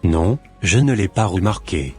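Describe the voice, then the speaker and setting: Man voicing a low-pitched, devout, humble man. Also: lively intonation, a little slow, breathy edge, soft background music, heavily acted. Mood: polite. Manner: pious, earnest, formal. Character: devout, humble formerly homeless man, earnest and grateful.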